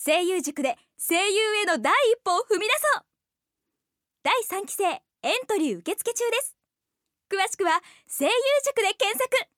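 Female announcer for promo spot, very high voice, close dry sound. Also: lively intonation, normal speed, fairly bright tone, no echo. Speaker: commercial announcer. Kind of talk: scripted promotional narration. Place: dry studio.

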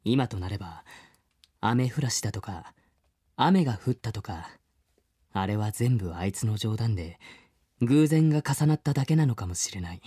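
An actor playing an earnest, wistful man who narrates his boyhood memories in a measured, reflective way, as in a radio drama. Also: fairly low pitch, expressive, mellow, husky, no room sound, clean studio sound. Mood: wistful, subdued. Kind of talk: scripted first-person radio drama narration.

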